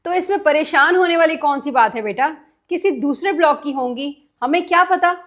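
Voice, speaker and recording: A woman's voice: high-pitched, moderate pace, fairly bright tone. A woman playing a caring, protective mother of young children in an acted moral-story skit. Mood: reassuring.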